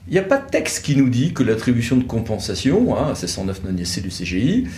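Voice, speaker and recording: The room rings noticeably around this man's voice, with a slight noise underneath. He has an average-pitched voice and explains legal matters conversationally as an expert. His delivery is didactic and leans on repetition to make a point. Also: sing-song, brisk, mellow. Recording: echoey room with light noise.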